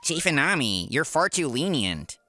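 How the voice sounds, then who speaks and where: A man's voice: fairly high pitch, sing-song, normal speed, sharp tone, dry recording, soft background music. A man voicing a cocky, spiteful office worker in a comic dub, with a sneering, taunting manner. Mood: mocking. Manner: sneering, taunting, stammers when cornered.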